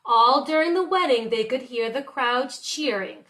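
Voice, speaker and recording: A woman teacher reading a picture book aloud in expressive narration, with deliberate, drawn-out phrases. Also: high voice.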